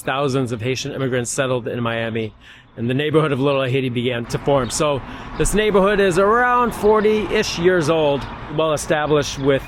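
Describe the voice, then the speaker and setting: A man hosting a casual street vlog and interviewing locals outdoors in the city. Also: mid-range voice. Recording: noisy city street with traffic.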